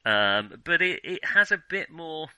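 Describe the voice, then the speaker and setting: A man's voice: on the high side, very animated, unhurried, sharp tone, clean tone. A man co-hosting a film podcast, talking casually and hesitantly, qualifying his points as he thinks aloud.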